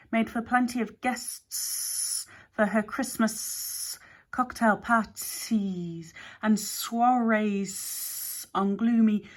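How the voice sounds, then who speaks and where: Woman doing a slow, acid-tongued creature. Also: fairly high pitch, pitched above the performer's own voice. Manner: slow, drawling, hushed and muttering.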